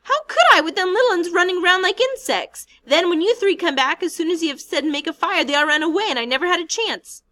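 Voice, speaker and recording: A woman voicing a fretful, indignant schoolboy stranded on an island, shrill and scolding. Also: animated, brisk, few pauses, sharp tone, heavily acted.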